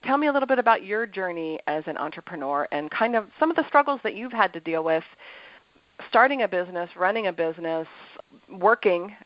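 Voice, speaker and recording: An upbeat woman hosts a podcast and delivers her lines smoothly and in a polished way. Her voice sits at a middle pitch. The sound is dry and close, a little dull. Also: expressive, a little fast, no echo. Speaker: podcast co-host and ADHD coach. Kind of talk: scripted show intro then interview.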